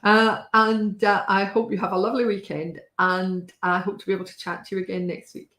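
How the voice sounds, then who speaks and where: A woman hosting a casual talk with a wry, chatty manner and a slightly hoarse voice, often pausing with fillers. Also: medium pitch, level tone, fairly bright tone, roomy sound. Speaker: host. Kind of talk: casual live-stream talk.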